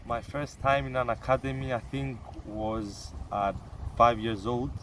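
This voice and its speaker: A young man from Cyprus answering interview questions in a casual, halting way, with restarts and fillers. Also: mid-range voice, steady tone, distant mic, background noise. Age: young adult.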